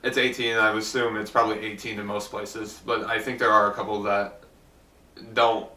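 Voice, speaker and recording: Young man, rambling casual talk, bright tone, distant from the mic in a roomy space. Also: on the low side, level tone, fairly quick. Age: young adult. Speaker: podcast guest. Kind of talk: casual chat.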